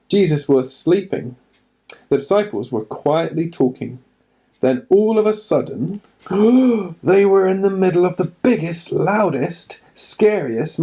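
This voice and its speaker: A man's voice: mid-range voice, mellow. A man reading a children's Bible storybook aloud for a church, telling it expressively with dramatic emphasis.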